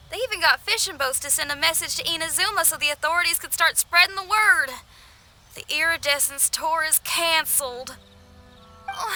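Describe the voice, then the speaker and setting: Game role: young rock musician girl, high and very bright, folksy, spirited, faint music underneath. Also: exaggerated voice. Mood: frustrated. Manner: folksy, expressive. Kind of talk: video game cutscene voice acting.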